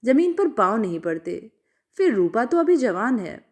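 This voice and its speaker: A woman storyteller narrating a literary story from a script in an expressive way. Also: fairly high pitch, moderate pace.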